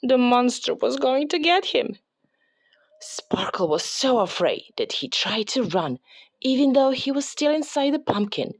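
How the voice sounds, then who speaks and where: A woman reading a children's story aloud in an expressive, dramatic way, sometimes dropping toward a whisper for suspense. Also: sits high, measured pace, dry recording. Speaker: storybook reader.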